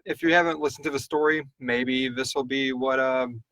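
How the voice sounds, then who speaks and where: A man's voice: mid-range voice, fairly even intonation, normal speed, a bit bright, no echo. A man hosting a casual live stream, chatting informally and chummily with viewers in a rambling way. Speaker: podcast host answering listener questions.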